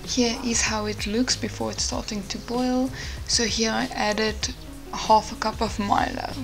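A woman's voice: on the high side, little pitch movement, a little slow, creaky. A woman in her twenties chatting casually to viewers in an informal vlogging style. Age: 20s. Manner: informal, addressing viewers directly. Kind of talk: casual vlog chat and recipe voice-over. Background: music.

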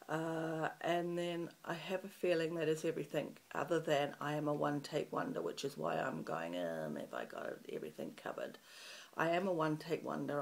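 Croaky New Zealand woman vlogging. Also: deep voice. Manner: chatty, rambling with many ums. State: croaky early-morning voice. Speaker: craft channel host. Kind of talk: casual chat to camera. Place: small room in front of a festive fabric backdrop.

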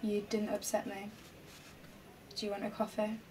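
Flat, guarded young woman played by an actress. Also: medium pitch, moderate pace, far from the mic, natural voice. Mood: guarded, withdrawn. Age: young adult. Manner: terse, restrained. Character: guarded, hurt young woman, terse with her ex-boyfriend. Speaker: film actor. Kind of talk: naturalistic film dialogue. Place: small bedroom, indoors.

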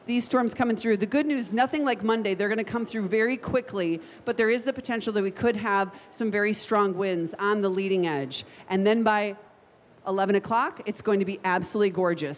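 A woman's voice: medium pitch, moderate pace, in a large hall, slight background noise. A woman TV meteorologist, giving a live forecast from a state fair stage, upbeat and chatty with her guests. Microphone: handheld mic.

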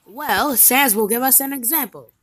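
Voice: mid-range voice, moderate pace, fairly bright tone, close to an everyday voice. An amateur voice actor playing a matter-of-fact cartoon ink demon in a homemade animation. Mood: businesslike.

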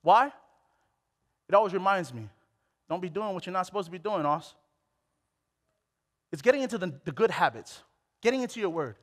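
High-voiced young preacher in a reverberant hall. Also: male voice, normal speed, pauses often. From Hawaii. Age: late 20s. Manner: animated, exclamatory, with many restarts. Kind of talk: sermon. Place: church stage. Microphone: headset.

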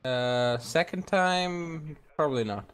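A man chatting casually with a teammate while gaming, swearing freely in a halting way. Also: a little high, clear, resonant tone. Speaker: video game player.

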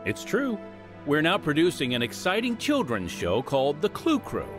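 A man announcing a radio program, reading scripted narration with an earnest, deliberate delivery. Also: a little high, wildly swooping pitch. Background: music.

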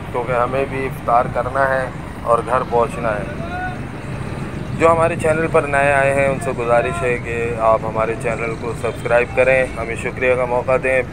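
A man slowly narrating a market street tour from a bike, with traffic and crowd noise behind him. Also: medium pitch. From Pakistan. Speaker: vlogger. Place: busy market street outdoors. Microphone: camera on a moving bike. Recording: noisy outdoor street recording.

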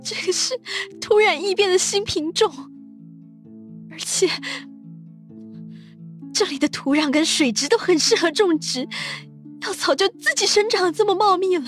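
Woman playing a high-voiced, resigned young girl. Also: lively intonation, moderate pace, pauses often, faint music behind. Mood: resigned explaining. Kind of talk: amateur audio drama acting.

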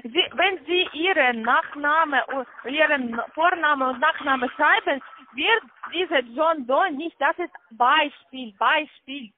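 A woman with a foreign accent in German, giving insistent, halting, repetitive step-by-step instructions as a call centre agent on a phone call. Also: high-pitched, a little hiss or hum. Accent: foreign, non-native German. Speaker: call centre agent selling a trading account. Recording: tinny band-limited phone line.

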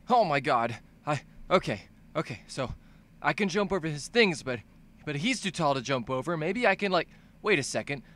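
A man delivers comedic video game commentary in a sharp, fairly high voice. His pitch swings widely as he exaggerates everything. He swears freely and plays up both confusion and excitement. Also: dry recording. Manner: profane, exaggerated, bouncing between mock dumb and excited shouting. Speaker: video game commentator, fan-dub voice-over. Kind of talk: animated comedic game-review voice-over.

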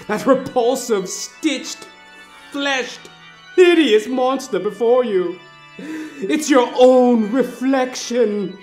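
A man narrating a horror audio play in a theatrical, drawn-out way that moves between hushed and screaming. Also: very high-pitched. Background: music.

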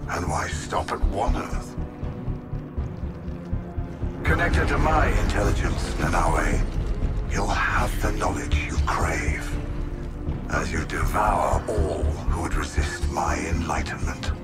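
A grandiose alien mastermind from a video game. The voice is deep and very measured, coldly tempting and ominous. Loud music swells underneath. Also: male voice, expressive. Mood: grandiose temptation. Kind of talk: video game cutscene acting.

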